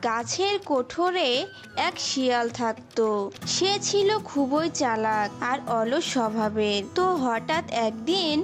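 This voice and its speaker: A woman's voice: sits high, expressive, slow pace. A woman narrating a scripted story for children as a storyteller. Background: prominent music.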